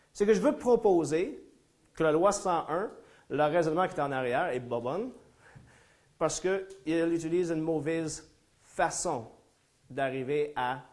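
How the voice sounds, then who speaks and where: A man's voice: fairly high pitch, animated, measured pace, pauses often, a little mellow. Anglophone Ontarian man lecturing in French. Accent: English-speaking Ontarian speaking French. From Ontario, Canada. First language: English. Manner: hesitant, many fillers and restarts, self-deprecating humor. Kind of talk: live conference talk. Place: lecture room before a small audience.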